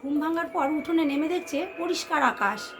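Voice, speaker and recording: Female storyteller, high pitch, expressive suspenseful delivery speaking straight to listeners, over background music. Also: moderate pace. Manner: expressive, addresses listeners as friends, repeats phrases for suspense. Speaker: storyteller, channel host. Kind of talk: dramatic oral ghost storytelling to listeners.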